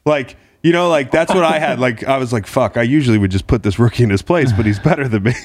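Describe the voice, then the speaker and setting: A man's voice: mid-range voice, quick pace. A man hosting a podcast, teasing his guest and swearing freely in casual chat. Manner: joking, profane, teasing. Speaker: podcast host.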